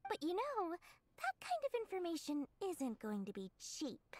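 A woman's voice: very high voice, huge pitch swings, unhurried, a little mellow, no room sound, exaggerated voice. A woman voicing a small, sly, greedy girlish merchant in a video game, sweet-talking customers with theatrical, flowery sales patter. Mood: smug, coy. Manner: ingratiating, flowery salesperson talk.

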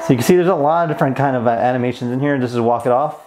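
A young man walks through a product in a casual, explanatory way. His voice is mid-pitched, and faint music plays behind him. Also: racing. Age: young adult. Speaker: tech toy reviewer and host.

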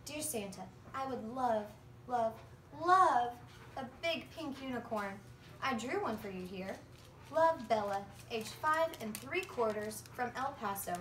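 An eager little kid, read by a young woman in a high, swooping voice, earnest and full of questions. It is a storybook read-aloud, recorded from a distance in a roomy space. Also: animated, measured pace, fairly bright tone. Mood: eager, curious. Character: eager, polite young children writing letters to Santa. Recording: roomy, distant phone recording with faint noise.

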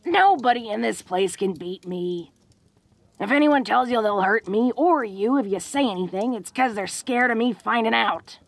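A woman's voice: a little high, expressive, normal speed, gravelly, no room sound. A woman playing a tough, protective, secretly awkward young orc woman in an intimate audio roleplay, with rustic slangy speech and a bashful stammer. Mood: protective, gruff.

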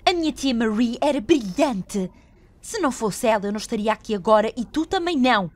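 A woman with a European Portuguese accent voicing a selfish, haughty young theatre star in an animated film dub. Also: fairly high pitch, brisk, natural voice. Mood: self-important, cold. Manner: haughty, commanding. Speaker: fan dub voice actor.

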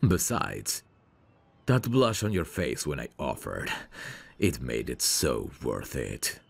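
Man playing very deep, gravelly suave mafia heir. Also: wildly swooping pitch, slow pace, full character voice. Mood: gentle, flirtatious. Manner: smooth-talking, courtly, flirtatious. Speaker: voice actor. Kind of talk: ASMR roleplay, intimate one-sided dialogue.

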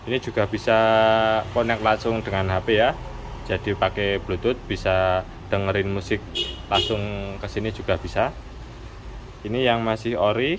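A man giving a casual hands-on tutorial, explaining step by step in an informal, repetitive way. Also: lowish voice. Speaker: motorcycle accessory shop presenter. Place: indoors at a wooden workbench. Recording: clearly noisy room with background hum.

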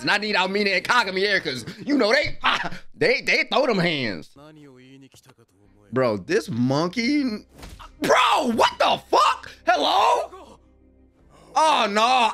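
A young adult man giving excited, slangy live reaction commentary as a host, full of hyped exclamations. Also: high-pitched, vocal fry. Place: small room at home, indoors. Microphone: desk microphone, close.

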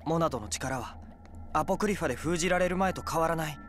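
An earnest young swordsman hero played by a male voice actor carrying a private burden. He speaks softly and inwardly, as in a dramatic game cutscene, with music behind him. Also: on the high side, quick pace, lightly acted. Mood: pensive. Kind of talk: Japanese video game cutscene acting.

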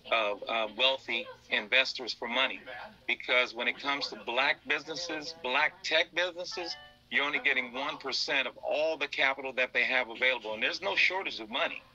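A man speaking as an interview guest, earnestly explaining in a halting way and repeating words as he goes. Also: medium pitch, pushed and bright, lo-fi sound. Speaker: interview guest, business founder.

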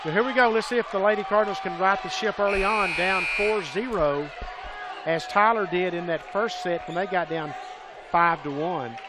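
A man calling a college volleyball match play by play, excitable and raising his voice on big plays. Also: high voice, normal speed. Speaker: play-by-play commentator. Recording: crowd and gym noise behind.

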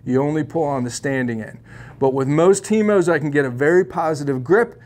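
A man's voice: on the high side, brisk, a little mellow. A middle-aged man giving a casual, opinionated fly fishing tutorial to camera in a shop studio, with plenty of ums and uhs, on a lapel mic.

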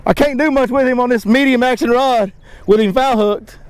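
A man in his thirties casually narrating a fishing trip from a boat, folksy and excitable, talking to his dog and the fish. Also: very high voice, normal speed, clear, resonant tone. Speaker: fishing guide and channel host. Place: outdoors on a boat on a lake.

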